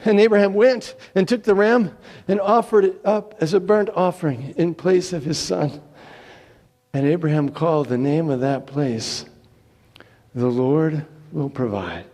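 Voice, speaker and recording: A man giving a theatrical, deliberate dramatic reading of scripture, swelling and then hushing. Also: high-pitched. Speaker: scripture reader. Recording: long hall reverb.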